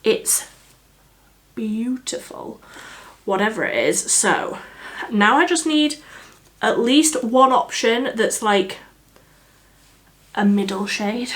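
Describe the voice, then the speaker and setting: Slow-talking, slightly croaky young woman. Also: a little high. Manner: chatty, easygoing, thinking aloud. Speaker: beauty vlogger. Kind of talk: casual makeup tutorial chat.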